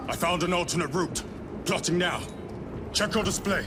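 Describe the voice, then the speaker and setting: A man's voice: sits high, moderate pace, very noisy, lightly acted. A man playing an eager, inquisitive sergeant of space soldiers in a video game, forthright and formal. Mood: businesslike. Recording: loud music behind the voice.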